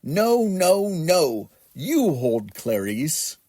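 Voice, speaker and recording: A man's voice: a little high, sing-song, warm tone, no echo, pitched above the performer's own voice, full character voice. Brusque, clipped raven barrister played by a man. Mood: exasperated. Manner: clipped, brusque, rapid, self-important. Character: busy, exasperated male raven barrister on a call. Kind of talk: children's storybook character voice.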